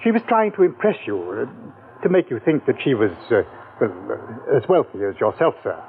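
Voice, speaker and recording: An actor playing a polite butler who hesitates with many fillers. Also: male voice, medium pitch, very animated, normal speed, a little hiss or hum. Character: hesitant, deferential male butler. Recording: tinny old radio broadcast.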